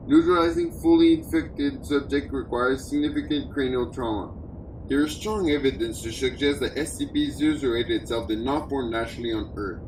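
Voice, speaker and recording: A man acts as a male research doctor narrating a secret file for an audio drama. His delivery is clinical, formal and measured. The voice sits back from the mic in an echoing room, with clear noise and rumble over it. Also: close to an everyday voice. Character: male research doctor reading classified containment audio log. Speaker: narrator of a fictional audio log. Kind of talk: scripted narration, formal document reading. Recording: roomy, distant mic, noisy with low rumble.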